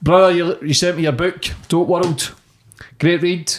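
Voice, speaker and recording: Upbeat host. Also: male voice, high voice, steady tone, a little slow. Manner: upbeat, calls the guest brother. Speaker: podcast host. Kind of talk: casual interview.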